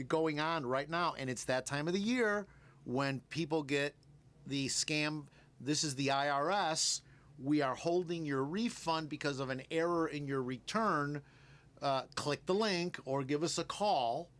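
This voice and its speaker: Brief: Cuban-born radio host, Spanish first language, chatty and laughing, fairly high male voice. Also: a bit bright. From Cuba. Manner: chatty, laughs often, quick asides. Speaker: radio host, tax preparer. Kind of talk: casual radio chat.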